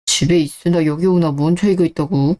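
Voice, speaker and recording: A woman's voice: deep voice, fairly even intonation, brisk, warm tone, pitched below the performer's own voice, close to an everyday voice. A woman playing a touchy, evasive husband in a scripted radio drama. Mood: evasive, irritated.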